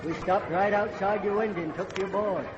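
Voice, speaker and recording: An actor playing the insistent conductor of a train of the dead in a 1950s radio thriller, folksy yet ominous. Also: male voice, high voice, fairly even intonation, a little fast, a crowd in the background, natural voice. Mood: eerily cheerful. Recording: muffled, noisy vintage radio recording.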